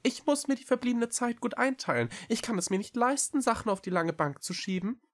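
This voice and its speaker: Small male talking cat for an audiobook, female reader: very high, quick, earnest and politely pleading. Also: animated. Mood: polite, urgent. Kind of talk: audiobook character voice.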